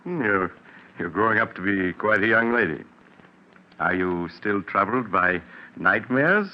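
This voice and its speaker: An actor playing a calm, kindly family doctor who narrates a 1940s radio drama in a measured, reassuring manner. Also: male voice, fairly low pitch. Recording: muffled, hissy 1940s radio recording.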